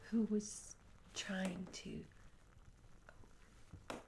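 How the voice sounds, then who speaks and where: Woman as whispering, unhurried curious tavern barmaid. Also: mid-range voice, flat delivery, slight background noise. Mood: intrigued, apologetic. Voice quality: soft, breathy whisper. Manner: hesitant, courteous, archaic formal phrasing. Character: curious, polite young medieval tavern barmaid, eager for tales. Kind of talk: soft-spoken whispered ASMR roleplay.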